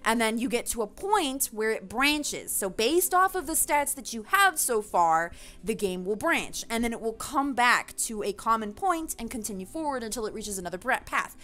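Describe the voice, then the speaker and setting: A woman's voice: on the high side, sing-song, moderate pace, few pauses, sharp tone. A woman giving a casual, opinionated rant to viewers, passionate and emphatic, with loose swearing, as a gaming video creator.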